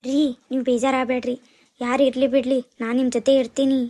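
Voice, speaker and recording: A woman plays a newly married young bride in a family drama. She is defiant and devoted, with a high voice. The intonation stays narrow. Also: flat delivery, fast, dark tone. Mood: devoted reassurance.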